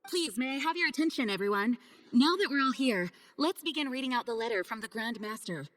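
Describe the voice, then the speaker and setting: Dignified knight leader, echoing. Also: female voice, a little high, animated, a little fast, a bit bright. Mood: formal, commanding. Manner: formal, polite, authoritative. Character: dignified, courteous female acting grandmaster of knights. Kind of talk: video game voice acting. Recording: reverberant, with faint music behind.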